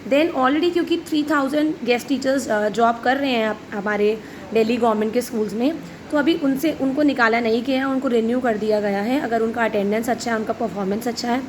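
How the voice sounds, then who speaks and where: A woman teacher with a hoarse voice, pitched a little high, works through viewer questions in plain, direct Hindi with English mixed in. A faint hiss runs under the recording. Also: brisk. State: hoarse from shouting at school. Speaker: teacher and channel host. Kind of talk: explaining answers to viewer questions.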